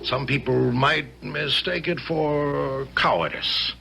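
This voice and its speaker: An actor playing a jovial, domineering middle-aged Hessian colonel in a radio drama, hearty, condescending and commanding. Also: male voice, fairly high pitch, steady tone, slow, vocal fry, bright, forceful tone, exaggerated voice. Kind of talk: old-time radio drama acting. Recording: noisy vintage radio drama recording.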